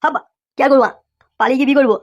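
A woman's voice: high-pitched, fairly even intonation, racing, darkish tone, highs cut off, close to an everyday voice. A woman voicing a lazy, whiny schoolboy rascal in a comic cartoon, pleading and full of exclamations. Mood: boastful, grumbling.

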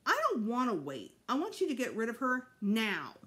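A woman's voice: fairly high pitch, huge pitch swings, a little slow, a bit bright, voice pushed up, full character voice. A woman voicing a small, stubborn, playful and jealous boy in a children's audiobook, with an insistent sing-song, childish delivery. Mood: pleading, stubborn.

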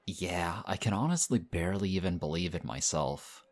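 A man voicing a friendly, modest young male team captain in an audio roleplay, talking in a relaxed, chatty way. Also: low-pitched, normal speed. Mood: excited, friendly.

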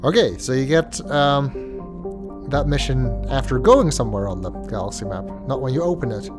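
A man's voice: mid-range voice, normal speed, music in the background. Stuffy-nosed man doing game commentary. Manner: hesitant, with many ums and uhs. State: congested, stuffy nose. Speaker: let's play host. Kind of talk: casual gameplay commentary.

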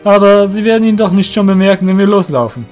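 A man's voice: sits high, lively intonation, fast talker, darkish tone, faint music behind, voice pushed up. Man acting cruel, mocking guild master, raised voice. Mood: commanding. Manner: mocking, commanding. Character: gloating, cruel male guild master giving orders. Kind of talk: hobby audio drama character acting.

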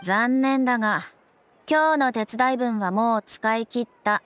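An actress playing a stern, principled young police officer in a video game, with formal, clipped, businesslike speech. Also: female voice, fairly high pitch, a little slow, fairly bright tone. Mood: dry, reproving. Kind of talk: Japanese game voice acting.

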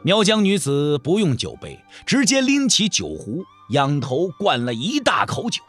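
A man's voice: a little high, wildly swooping pitch, a little slow, fairly bright tone, slightly rough, soft background music. A man narrating a martial-arts novel as a scripted audio drama, telling the story in the third person.

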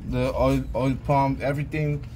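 A man's voice: mid-range voice, level tone, moderate pace, darkish tone, rough recording. A young man, chatting casually as a guest in a car video. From Aruba. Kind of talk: casual chat. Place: outdoors at open home garage. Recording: open-air ambience, faint background noise.

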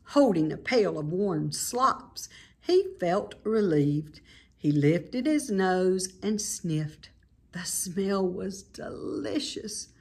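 A middle-aged woman with a slightly husky voice reads a children's story aloud expressively, like a teacher. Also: medium pitch. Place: indoor room at home by a staircase.

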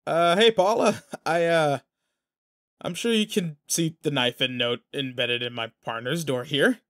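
Higher-pitched protective, teasing guy, acted by a man. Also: unhurried, fairly bright tone. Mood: firm, uneasy.

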